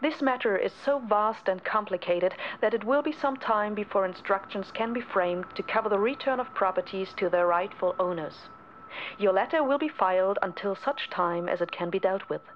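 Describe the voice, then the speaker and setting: Female documentary reader: formal, measured delivery of letters and headings, slight background ambience. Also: medium pitch, muffled recording, slight background noise. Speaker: documentary radio play reader. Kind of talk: scripted reading of headings and letters.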